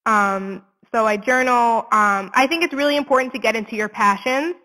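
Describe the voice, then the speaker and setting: A woman's voice: a little high, moderate pace, bright, forceful tone, long reverb tail, rough recording. A woman in her twenties speaking earnestly and conversationally as an interview guest, in candid, informal talk. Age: 20s. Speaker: interview guest, suicide prevention advocate.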